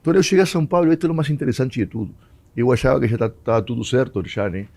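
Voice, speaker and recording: A man's voice: mid-range voice, animated, racing, gravelly, a little mellow. A Uruguayan man, a former footballer whose first language is Spanish, telling stories in an interview and mixing Portuguese with Spanish. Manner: mixes Portuguese and Spanish, laughs often. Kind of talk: storytelling interview.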